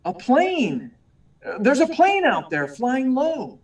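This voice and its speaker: Man playing mild-mannered young reporter, high voice, earnest, hesitant, radio drama, slightly distant mic. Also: huge pitch swings, slow, full character voice. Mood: urgent, earnest. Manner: hesitant, polite, stammering. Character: meek, earnest young male newspaper reporter. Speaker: actor in a live radio play. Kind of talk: 1940s radio serial acting.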